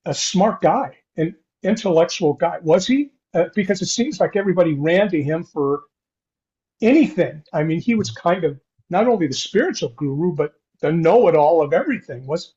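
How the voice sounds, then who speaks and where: An older man hosting an interview podcast, talking in a halting, rambling way with repeated false starts. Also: high-pitched, expressive, darkish tone. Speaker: podcast host. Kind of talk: conversational interview. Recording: dull, lo-fi sound.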